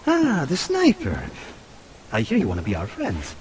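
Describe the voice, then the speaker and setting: An actor playing a wry, bitter partisan in a video game, with a sardonic manner. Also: male voice, medium pitch, huge pitch swings, normal speed, a little mellow, slight background noise.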